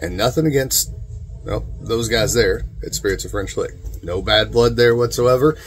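A man's voice: fairly low pitch, moderate pace, low-quality recording. A middle-aged man talking casually to his viewers in a folksy, informal way, as host of a video channel. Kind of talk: casual talking-head vlog. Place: small cluttered room at home, indoors.

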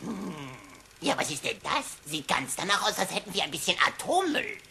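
A woman's voice: mid-range voice, sing-song, fairly quick, creaky. A woman voicing a perky, glib atom mascot presenting a parody educational cartoon film in a jaunty way. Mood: breezy, glib. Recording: faintly noisy cassette.

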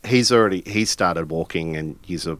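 A man improvising dialogue and narration in a tabletop roleplaying game, haltingly searching for words. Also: deep voice, a bit bright.

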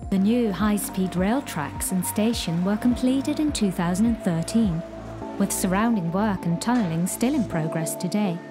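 A woman giving a measured, clear scripted narration for a corporate explainer video. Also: mid-range voice, over music. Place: studio voice-over.

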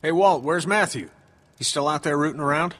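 A man's voice: on the high side, brisk, a bit bright. An actor playing a middle-aged male survivor in a video game, plain-spoken and folksy, with a Southern US accent. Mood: upbeat. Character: gruff, warm-hearted middle-aged male survivor, grieving but hopeful. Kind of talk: naturalistic video game voice acting.

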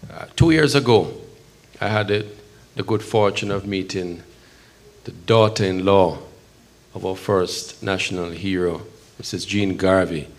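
Middle aged jamaican guy speaking at a podium, kinda deep voice, measured n emphatic with pauses. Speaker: consul general, guest speaker at podium. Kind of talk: public speech at a community event. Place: event hall, at a podium before a seated audience. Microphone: podium microphone.

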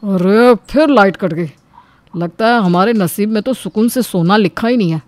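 A woman voicing a weary, grumbling married man, the elder brother in an animated family story. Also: mid-range voice, moderate pace, no echo, pitched below the performer's own voice, lightly acted.

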